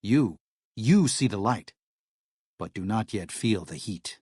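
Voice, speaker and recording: Low-voiced, earnest missionary medic. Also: male voice, expressive, darkish tone, slightly rough, dry recording, studio quality, full character voice. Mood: grave, solemn. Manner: measured, solemn, plain-spoken. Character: earnest, weary missionary medic protecting a tribe. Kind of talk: video game character dialogue.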